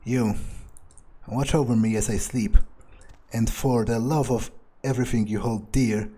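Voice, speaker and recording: A man's voice: medium pitch, steady tone, unhurried, mellow, husky. A man playing a cruel, impatient vampire in a video game roleplay, musing aloud with menace. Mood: menacing, weary.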